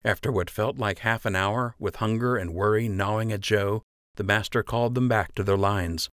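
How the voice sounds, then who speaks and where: Lowish-voiced male audiobook narrator, dry sound. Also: fairly even intonation, normal speed, a bit bright, dry recording. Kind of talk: scripted fiction narration.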